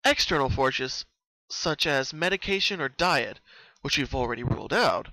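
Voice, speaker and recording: A man's voice: medium pitch, expressive, normal speed, bright, forceful tone, dry recording, rough recording. A man voicing a studious, caring female unicorn pony, speaking earnestly and articulately in a cartoon story reading. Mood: bookish.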